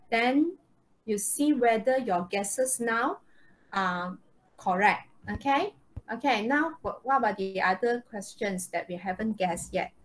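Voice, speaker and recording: Female online English teacher, Malaysian accent, higher-pitched, instructional, peppers her speech with short checking questions. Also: rough recording. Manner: frequent checking questions, tag words like okay and right. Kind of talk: live online lesson, instructing students. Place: indoors, online video call.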